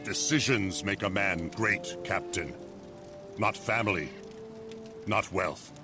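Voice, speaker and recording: A fairly low voice sits over clear background music, belonging to an irreverent old hand of a Chinese fleet. He is dry, sardonic and hard to impress. It is the loyal, hard-drinking sidekick of a game cutscene. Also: takes it slowly. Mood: wry. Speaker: game character, captain's companion. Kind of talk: dramatic video game cutscene acting.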